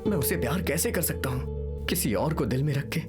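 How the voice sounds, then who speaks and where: A man's voice: high-pitched, very animated, brisk. A man playing a defensive, lovesick young son who protests in an anguished way in a film drama. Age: young adult. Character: lovesick, defensive young son torn between love and family. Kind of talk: melodramatic dubbed film drama. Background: music.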